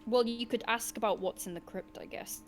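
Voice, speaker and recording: A woman hosting and narrating an interactive gamebook, mixing expressive storybook reading with casual asides. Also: on the high side, a little fast, soft background music. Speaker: host and narrator of a gamebook session.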